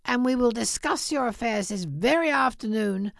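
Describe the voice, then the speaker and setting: A woman voicing an exuberant elderly English gentleman in a storybook reading, emphatic and theatrical. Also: fairly high pitch, huge pitch swings, slow pace, vocal fry, husky, no room sound, clean studio sound, full character voice. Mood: joyful, earnest. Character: elderly English gentleman, gruff turned giddily jolly.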